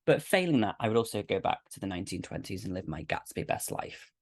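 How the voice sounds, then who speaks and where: A woman's voice: a bass voice, sing-song, quick pace, a little mellow, dry recording, clean studio sound. A woman chatting casually in a gushing, slangy way as an amateur cast member. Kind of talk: casual chat.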